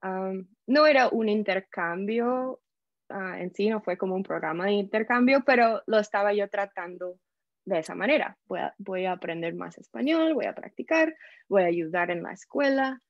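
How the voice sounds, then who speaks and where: A woman from the United States with an American English accent, a podcast guest telling her story in a lively way and slipping English words into her Spanish. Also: mid-range voice, normal speed, dry recording, muffled recording. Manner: animated, acts out quoted voices, mixes English words into Spanish. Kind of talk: casual interview storytelling.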